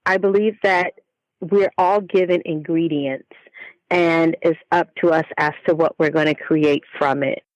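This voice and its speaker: A middle-aged woman chatting as an interview guest, expressive and folksy, given to exclamations. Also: low voice, no room sound, muffled recording. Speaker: podcast guest, author and speaker. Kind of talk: casual interview chat.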